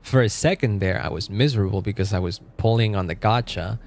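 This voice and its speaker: A man's voice: fairly low pitch, brisk, a little hiss or hum. A man in his twenties chatting casually on a live stream. He rambles, laughs often and trails off mid-sentence. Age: 20s. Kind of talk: casual live-stream chat, reacting to chat and game.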